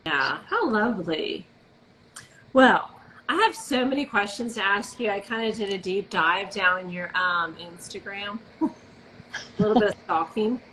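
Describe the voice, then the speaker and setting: A woman's voice: mid-range voice, animated, a little slow, bright, forceful tone, slight background noise, low-quality recording. A woman hosting a casual live video interview, talking with gushing enthusiasm. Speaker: host.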